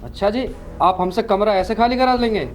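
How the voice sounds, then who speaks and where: A young man acted by a male performer with a fairly high voice. He delivers his lines with short-film melodrama over faint background music. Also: a little fast, lightly acted. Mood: threatening.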